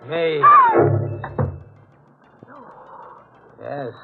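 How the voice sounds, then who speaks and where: An actor playing a tormented, deranged killer in a 1940s radio horror drama, intense and haunted. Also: male voice, slow, phone-quality sound, faint music behind, lightly acted. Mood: menacing. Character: tormented, deranged male killer mourning his love. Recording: thin, band-limited old radio broadcast recording.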